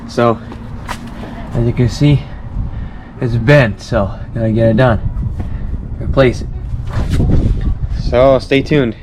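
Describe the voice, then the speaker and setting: A man vlogging while rebuilding his car, his voice raspy from feeling unwell, chatty and encouraging with halting phrasing. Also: medium pitch, fairly even intonation, noisy background. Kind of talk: casual talk while working on a car. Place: outdoors and in a garage by the car.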